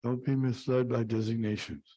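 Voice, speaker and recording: A man in a class who repeats the lecture's phrases slowly and carefully. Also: mid-range voice, level tone, mellow. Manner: slow, careful repetition of phrases.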